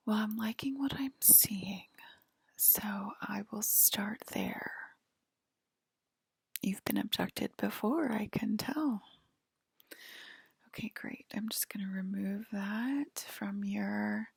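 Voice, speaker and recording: A woman softly whispering an ASMR roleplay to the listener as a polite, attentive alien abductor, pausing often. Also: mid-range voice, creaky, slightly rough, no room sound. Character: polite, attentive female alien abductor queen acting as medical examiner. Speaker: ASMR performer.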